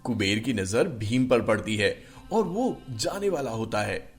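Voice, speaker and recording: A man narrating a scripted children's story in an expressive storytelling manner. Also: medium pitch, unhurried, faint music behind.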